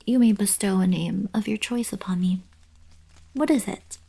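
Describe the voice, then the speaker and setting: Girl acting as soft husky alien princess, kinda shy but regal, whispery asmr roleplay, slow and mellow. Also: mid-range voice. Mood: flustered, playful. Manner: soft-spoken, breathy, half-whispered, hesitant. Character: young alien princess, gentle, caring, regal yet shyly flustered.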